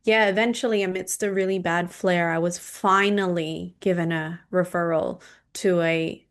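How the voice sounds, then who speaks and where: Measured woman sharing her story. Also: lowish voice, fairly even intonation. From Indonesia. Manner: measured, with frequent ums. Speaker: guest, clinical nutritionist and naturopath. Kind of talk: podcast interview, personal story.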